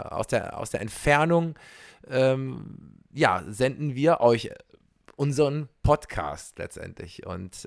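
Male podcast co-host, mid pitch, chatty and laughing, dry close mic. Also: vocal fry, no echo. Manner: chatty, many fillers and restarts, laughs often. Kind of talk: casual remote podcast chat. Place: home, recording remotely.

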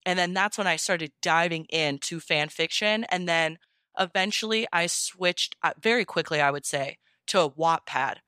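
A woman's voice: on the low side, moderate pace, sharp tone, clear, resonant tone, dry recording, studio quality. A young woman chatting casually as a podcast guest, gushing with enthusiasm and peppering her talk with "like".

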